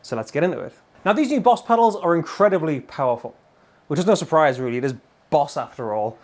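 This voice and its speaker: A man's voice: on the high side, animated, quick pace, a little mellow. A middle-aged British man giving an enthusiastic, emphatic and colloquial gear demo to camera. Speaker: guitar gear demonstrator. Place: indoor room full of guitars and amplifiers.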